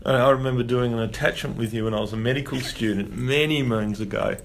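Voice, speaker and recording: A man's voice: medium pitch, fairly even intonation, fast, gravelly, slight background noise. A man hosting a podcast, interviewing a guest in a friendly, encouraging way. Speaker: podcast host, doctor.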